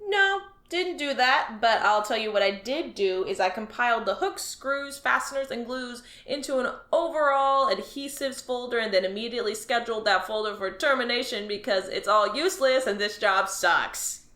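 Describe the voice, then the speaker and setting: A woman's voice: a little high, expressive, moderate pace, hardly any pauses, pushed and bright. A woman voicing a bored young male alien office clerk who whines and mocks his useless job, in an audio drama. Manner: sarcastic, whiny, restless.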